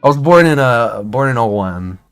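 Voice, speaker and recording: A man in his twenties streaming casual commentary, rambling and excitable with many restarts and fillers. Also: medium pitch, moderate pace, clear, resonant tone, soft background music. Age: 20s.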